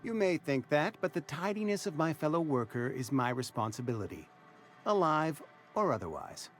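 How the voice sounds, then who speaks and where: An actor playing a fussy, proud small-town undertaker and barber in a video game, with prim, theatrical, formal diction. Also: male voice, fairly high pitch, big pitch swings, moderate pace, faint music behind. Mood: courteous, proud. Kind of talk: video game character dialogue.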